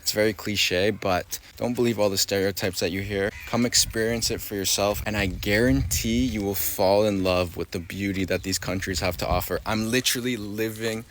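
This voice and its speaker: A young man speaks in a low, deep register, earnest and effusive, like a traveller summing up his trip straight to camera. Faint background noise sits under his voice. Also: steady tone, moderate pace, few pauses. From United Kingdom. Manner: earnest, effusive, says "bro".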